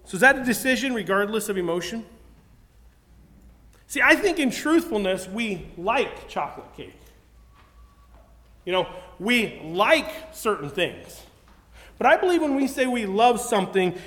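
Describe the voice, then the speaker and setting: High-voiced man preaching in a reverberant hall. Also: sing-song, normal speed, long pauses, a bit bright. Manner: earnest, conversational, with repeated restarts. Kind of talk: sermon.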